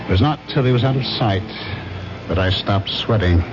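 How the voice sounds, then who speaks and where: A man's voice: fairly low pitch, normal speed, lightly acted. A man acting in a radio drama as a guilty, nervous husband, narrating his own story tensely. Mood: brooding, tense. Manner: tense, stammering under questioning. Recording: dull vintage radio, loud music behind.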